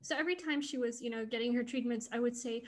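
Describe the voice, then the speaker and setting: A woman's voice: fairly high pitch, fast. A young woman whose first language is Afrikaans, speaking earnestly and thoughtfully as a guest in a conversational interview. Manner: thoughtful, earnest, with frequent fillers.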